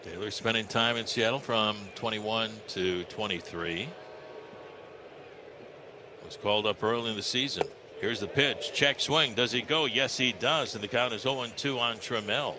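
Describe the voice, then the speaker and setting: American baseball announcer over ballpark noise. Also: male voice, fairly low pitch, normal speed. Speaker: baseball radio play-by-play announcer. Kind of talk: live play-by-play commentary. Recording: ballpark ambience noise behind broadcast. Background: stadium ambience.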